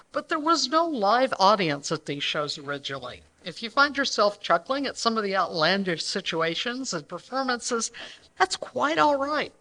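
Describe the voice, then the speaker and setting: A woman's voice: mid-range voice, sharp tone, low-quality recording. A woman co-presenting a live show, speaking conversationally to the audience. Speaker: co-presenter introducing a live radio recreation. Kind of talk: live stage introduction. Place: banquet hall, live before an audience.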